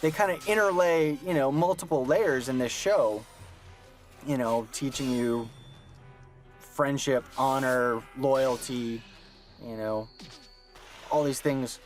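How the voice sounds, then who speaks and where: A man of middle pitch hosts a commentary, rambling thoughtfully and filling pauses with "you know." Faint music plays in the background. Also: fairly even intonation. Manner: thoughtful, rambling, many fillers. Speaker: commentary host. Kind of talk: commentary chat.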